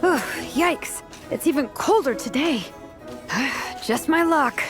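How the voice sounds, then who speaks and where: A woman's voice: high-pitched, expressive, slow pace, over music. A woman playing a practical, caring young team captain in a video game, matter-of-fact and a little wry. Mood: grumbling. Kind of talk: English video game voice acting.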